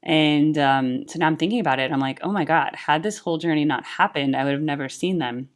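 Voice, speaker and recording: A young woman with a low-pitched voice talks casually straight to her audience. She's earnest and chatty, and her speech is filled with "like" and "right?". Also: quick pace. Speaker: YouTube music reactor and tribute band singer. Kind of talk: casual talking-head chat about music.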